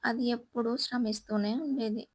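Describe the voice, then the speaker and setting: A woman's voice: on the high side, steady tone, normal speed, darkish tone, slightly rough, no room sound. A woman storyteller reading a scripted children's moral tale as narrator.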